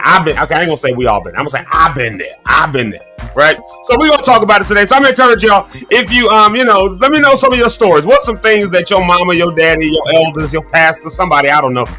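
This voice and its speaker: A man's voice: fairly high pitch, bright, forceful tone. A man in his thirties hosting a casual morning livestream, talking straight to his viewers in a folksy, preachy way and fighting a cough. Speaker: morning show host. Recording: faint music behind.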